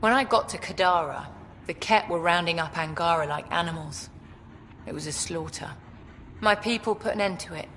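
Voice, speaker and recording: A woman's voice: lowish voice, normal speed, a bit bright, in a large hall, slight background noise, natural voice. A woman playing a hardened, short-tempered outlaw leader in a video game, speaking in a blunt, menacing way. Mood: bitter, proud. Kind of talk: video game cutscene acting.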